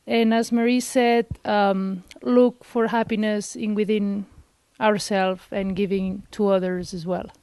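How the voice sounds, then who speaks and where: A woman from Spain giving a short, steady testimonial. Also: medium pitch, unhurried, hardly any pauses, smooth, no echo.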